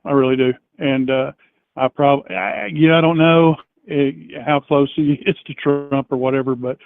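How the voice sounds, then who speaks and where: A man's voice: mid-range voice, no echo. A man calling in to a live stream, hesitant and rambling, stumbling over his words and laughing uneasily. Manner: hesitant, rambling, lots of fillers and restarts. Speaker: caller. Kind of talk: phone-in conversation. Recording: tinny phone line.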